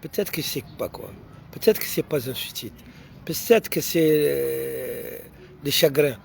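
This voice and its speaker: A man's voice: mid-range voice, vocal fry. Man, Mauritanian accent, non-native French, hesitant, many fillers, faint traffic hiss behind. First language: not French. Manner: halting, many fillers and repeated restarts. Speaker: writer answering audience questions. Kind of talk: unscripted answers to online questions.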